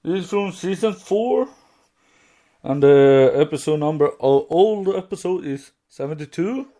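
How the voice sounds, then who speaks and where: A man hosting a podcast, talking casually in a halting, hesitant way. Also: a little high, lively intonation, warm tone. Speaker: podcast host.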